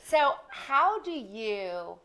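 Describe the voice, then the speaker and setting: A woman's voice: on the high side, very animated, very slow, pushed and bright. A woman hosting a podcast interview, enthusiastic and reflective as she asks questions. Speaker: podcast host. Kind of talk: casual interview chat.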